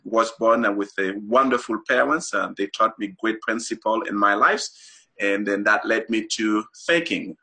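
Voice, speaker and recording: A man's voice: lowish voice, sharp tone, breathy edge. A middle-aged man from Haiti, a guest author on a talk show, explains his ideas earnestly with many fillers and restarts.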